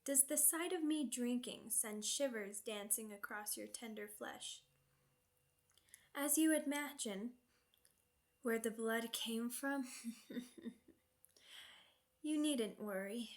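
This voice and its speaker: A woman's voice: fairly high pitch, slow pace, pauses often. A woman playing a seductive, sadistic vampire noblewoman in an ASMR roleplay, speaking formally and teasingly, savoring each phrase. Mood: menacing, amused.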